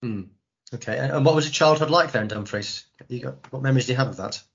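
A man's voice: mid-range voice, vocal fry, husky, highs cut off. A man hosting a podcast interview, chatty, with frequent restarts. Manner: quick, chatty, stumbles and restarts. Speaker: podcast host.

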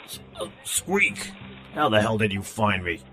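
A man's voice: lowish voice, very animated, measured pace, pushed and bright, faint music behind, exaggerated voice. A man playing an exasperated, sarcastic holidaymaker, grumbling incredulously in a comic audio drama.

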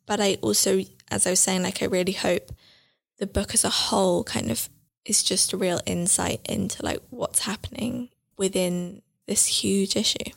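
Young woman, earnest, reflective, vocal fry, chatty fillers. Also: mid-range voice, fairly even intonation, moderate pace. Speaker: book editor and guest. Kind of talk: interview conversation.

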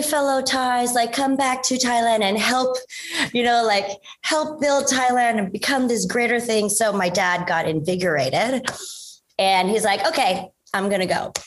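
A woman's voice: a little high, a little slow. A woman guest in a casual interview, chatty and self-deprecating, with frequent fillers and restarts as she tells her story. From United States.

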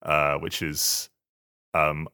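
A man co-hosting a podcast, chatting casually in a halting way and often repeating his words. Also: rumbling low, monotone, sharp tone, clean tone. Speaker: podcast co-host. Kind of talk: casual chat.

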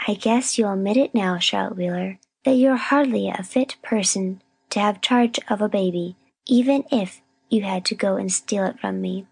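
A woman reading a storybook, playing a stern, sharp-tongued spinster with a grim, scolding manner. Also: mid-range voice, normal speed, gravelly, breathy edge. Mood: reproachful. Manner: grim, firm, scolding, sniffy.

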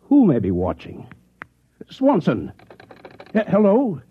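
A man's voice: high-pitched, wildly swooping pitch, slow, breathy edge, heavily acted. An actor playing a troubled, insistent married office man in a classic radio drama. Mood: wary, subdued. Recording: tinny old radio recording.

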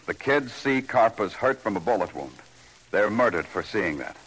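A man's voice: fairly low pitch, expressive, moderate pace, fairly bright tone, slight background noise, rough recording. An actor playing a terse, sardonic big-city detective who narrates his case in a vintage radio crime drama. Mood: terse, intent. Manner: clipped, dry, sarcastic. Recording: old radio recording with music.

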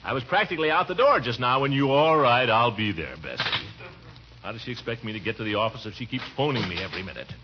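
An actor playing a pompous, grumpy, fretful middle-aged uncle in 1940s radio comedy, blustering and exclaiming. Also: male voice, mid-range voice, animated, fast, lightly acted. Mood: flustered, irritated. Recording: dull, noisy vintage radio recording.

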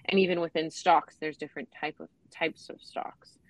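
A woman's voice: low voice, steady tone, normal speed, no echo, rough recording. A woman hosting an interview, asking casual, hesitant questions. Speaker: interview host.

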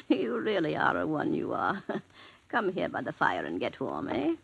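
Brief: a trusting old invalid lady for a vintage radio drama, breathy and bright, with a lively, swooping voice. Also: low voice, measured pace, dry recording, highs cut off, exaggerated voice. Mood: chatty. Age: older. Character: frail elderly woman, invalid, trusting and chatty. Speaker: invalid widow in a cottage. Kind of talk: 1940s radio acting.

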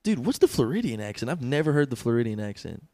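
A man's voice: mid-range voice, big pitch swings, quick pace, dark tone, no room sound. Laughing young man on a comedy podcast. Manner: joking, laughs often, swears. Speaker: podcast co-host. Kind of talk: crude comedic banter.

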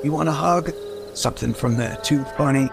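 Male actor for irreverent, easygoing rogue in audio drama; medium register over clear background music. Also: mid-range voice, moderate pace, close to an everyday voice. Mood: awkwardly jokey. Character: irreverent, laid-back rogue mayor, crude but friendly.

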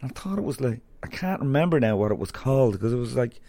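A man's voice: medium pitch, sing-song, quick pace, vocal fry, mellow, no echo. An Irish man hosting a podcast, chatting loosely and rambling through stories before interviewing a guest. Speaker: podcast host. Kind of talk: casual rambling monologue and interview.

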